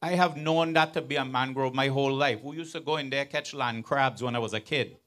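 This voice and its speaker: A man's voice: mid-range voice, steady tone, a little fast, fairly bright tone. A man in the audience asking a pointed question at a town hall into a handheld mic, earnest and building in emphasis. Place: large outdoor venue with audience.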